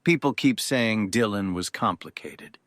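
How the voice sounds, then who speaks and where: A reserved, grieving man played by an actor delivers a solemn eulogy in an audio drama. He speaks slowly and haltingly, his voice rising and falling, recorded close and dry. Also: lowish voice, expressive, fairly bright tone, no room sound. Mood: solemn, reflective. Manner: measured, halting, pausing between phrases.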